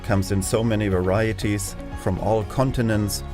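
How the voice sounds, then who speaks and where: A man reading scripted documentary narration in a measured, polished way. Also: on the low side, fairly even intonation, over music.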